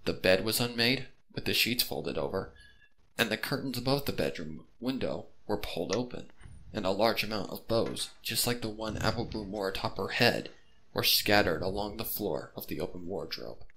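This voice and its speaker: A man narrates a dramatic story in a low voice with an animated delivery. His tone is gravelly, and faint noise sits behind it. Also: normal speed, poor audio.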